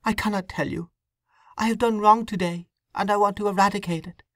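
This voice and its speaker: A woman's voice: mid-range voice, steady tone, brisk, dry recording, studio quality, voice pushed up, lightly acted. A woman voicing a young, distraught woman torn by conscience, pleading and breathless. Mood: anguished.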